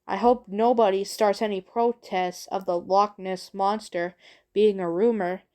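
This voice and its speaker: Slow, halting woman talking casually. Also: medium pitch, fairly even intonation, a little mellow. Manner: halting, rambling, repeats phrases. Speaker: video creator introducing own work. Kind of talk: casual unscripted vlog talk.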